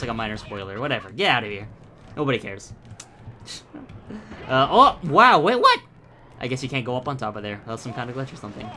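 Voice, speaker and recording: A man's voice: medium pitch, bright, forceful tone, slight background noise. A young man from the Philippines giving casual, rambling, profane gameplay commentary full of tangents. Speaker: gaming YouTuber.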